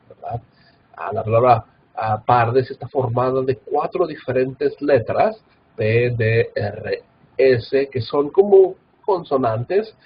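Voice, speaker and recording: A man's voice: mid-range voice, muffled recording, slight background noise. A man from Mexico with a Guadalajara Mexican Spanish accent, giving a recorded Bible institute lecture in an earnest manner, with many filler "ah"s and tag questions.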